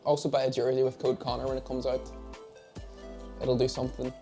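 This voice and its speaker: A man's voice: medium pitch, monotone, fairly quick, vocal fry, mellow, soft background music. A nineteen-year-old man with a Northern Irish accent, chatting casually to camera in a Q and A about his media job, with rambling, self-mocking asides. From Derry, Northern Ireland. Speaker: esports head of media. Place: home office setup.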